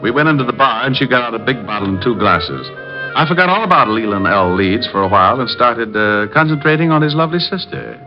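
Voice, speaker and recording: An actor playing a wisecracking hard-boiled private detective, narrating and trading dry quips in 1940s radio style. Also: male voice, mid-range voice, expressive, quick pace, close to an everyday voice. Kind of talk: 1940s radio acting with first-person narration. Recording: dull lo-fi old radio recording, faint music.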